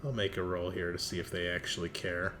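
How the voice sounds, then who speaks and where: A man's voice: low voice, level tone, a little fast, fairly bright tone. A man running a horror tabletop roleplaying game as game master, narrating descriptively and suspensefully.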